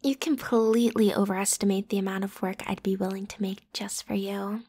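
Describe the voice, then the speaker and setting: A woman's voice: mid-range voice, little pitch movement, moderate pace, dark tone, husky. A woman playing a popular, breezy, pushy teenage schoolgirl in an ASMR roleplay, talking casually and teasingly as if to one listener. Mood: slightly hurt. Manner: playful, teasing, casual youthful phrasing with giggles. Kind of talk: ASMR roleplay, one-sided conversational acting.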